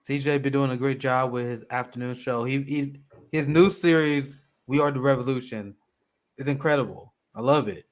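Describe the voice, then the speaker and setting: A man's voice: mid-range voice, normal speed. A man hosting a political livestream, casually running through the show schedule in an upbeat way. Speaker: host.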